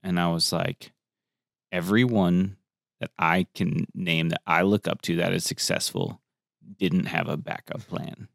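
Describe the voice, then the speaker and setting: A low-pitched male host chatting loosely in a podcast interview, swearing freely and jumping up in pitch whenever he asks a question. The sound is dry and close, with no room around it. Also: fairly even intonation, a little slow, creaky, a bit bright, dry recording. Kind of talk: casual interview chat.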